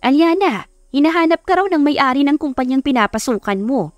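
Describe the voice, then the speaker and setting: A woman's voice: high-pitched, animated, brisk, no room sound, soft background music, pitched above the performer's own voice. Woman voicing a homely, hospitable Filipino mother. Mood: calling out. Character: hospitable middle-aged mother, calling out loudly.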